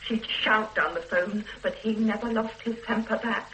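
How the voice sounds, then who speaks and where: A woman's voice: mid-range voice, fairly even intonation, moderate pace, sharp tone, echoey room, far from the mic, a little hiss or hum, close to an everyday voice, low-quality recording. An actress playing a loyal female secretary in a radio drama, guarded and prim, defending her employer under questioning. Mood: defensive. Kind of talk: 1970s-80s radio drama acting.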